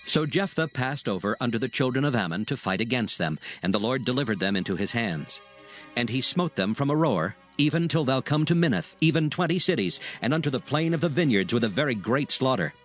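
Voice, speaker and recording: A man narrating dramatized scripture in a solemn, formal, measured storytelling manner. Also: medium pitch, lively intonation, faint music behind.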